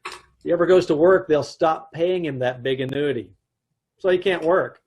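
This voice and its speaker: A man's voice: fairly high pitch, normal speed, a little mellow, husky. A man narrating and playing a dry, joking husband in an old-time radio comedy recreation. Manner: dry wry delivery with chuckles. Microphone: home mic on video call.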